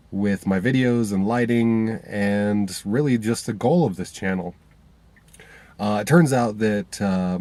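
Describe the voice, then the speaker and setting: A man with a somewhat low voice talks straight to a handheld camera from inside his car. He sounds stuffy from a cold. He rambles casually, full of fillers and restarts. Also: a little mellow. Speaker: vlogger.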